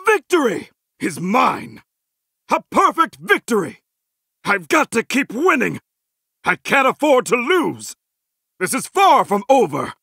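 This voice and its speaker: A goofy middle-aged video game hero, earnest and over-eager. His voice sits very high and swings wildly in pitch, with a bright, forceful edge. Every short battle line comes out as a cheesy heroic exclamation. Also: huge pitch swings, slow pace, vocal fry, pauses often, dry recording, studio quality, exaggerated voice. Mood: triumphant, cocky.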